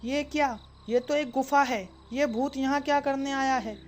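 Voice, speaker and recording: A woman's voice: high-pitched, steady tone, moderate pace, faint music behind, close to an everyday voice. A woman voicing a brave, curious village boy in a children's cartoon, earnest and kind. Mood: curious, suspicious. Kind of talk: children's cartoon story acting.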